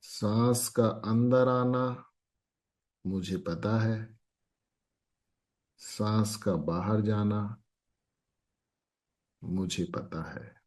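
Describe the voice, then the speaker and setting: A man guiding a meditation as an instructor, speaking calmly in short phrases with long pauses. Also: on the low side.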